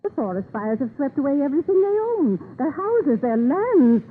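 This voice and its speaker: A woman's voice: sits high, sing-song, moderate pace. Compassionate woman, earnest and insistent, 1930s radio drama, muffled narrow-band sound, faint hiss. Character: earnest, compassionate woman, insistent about helping disaster victims.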